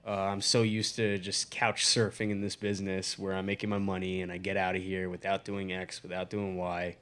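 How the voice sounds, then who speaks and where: A man with a fairly low voice hosts a casual podcast discussion. He talks in an analytical way, framing the problem and raising questions as he reasons through it. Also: completely flat delivery, brisk, a bit bright. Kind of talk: casual talk-show discussion.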